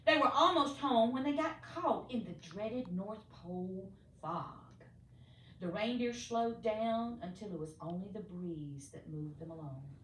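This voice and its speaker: A woman reading a children's storybook aloud expressively, with theatrical, deliberate emphasis for young listeners. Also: medium pitch. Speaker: storybook reader. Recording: echoey room, distant mic, faint noise.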